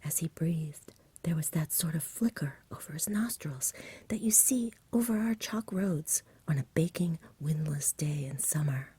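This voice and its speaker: A nervous country shepherd played by a woman frets in a breathy storybook whisper. The telling is agitated and rambling, full of rustic turns of phrase. The tone stays soft and mellow. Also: low voice, dry recording, heavily acted. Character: frightened rustic shepherd father, fretful countryman.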